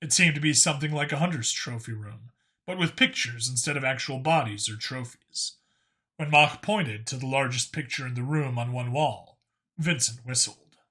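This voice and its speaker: A man's voice: mid-range voice, normal speed, sharp tone, slightly rough. A man narrating a scripted science fiction story as an audiobook reader.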